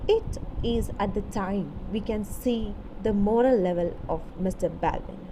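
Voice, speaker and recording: A woman delivers scripted educational narration at a slow pace, breaking it into short, deliberate phrases. She explains like a literature teacher. The recording has clear background noise running under her voice. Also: mid-range voice.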